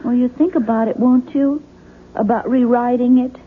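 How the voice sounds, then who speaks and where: An actress playing a gentle, anxious young wife in a 1970s radio drama, coaxing and emotional. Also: female voice, a little high, fairly even intonation, normal speed, natural voice. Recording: muffled, faintly noisy radio broadcast.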